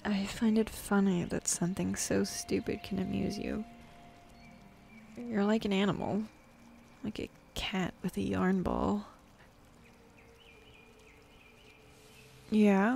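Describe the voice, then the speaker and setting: A woman playing a bossy, jaded female demon in an ASMR roleplay, speaking softly and often half-whispering, with dismissive sighs. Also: medium pitch, creaky, a little hiss or hum. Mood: dismissive, amused.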